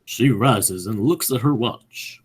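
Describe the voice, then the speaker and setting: A man's voice: on the low side, slow pace, breathy edge. A man narrating a radio play, reading short stage directions as scripted narration.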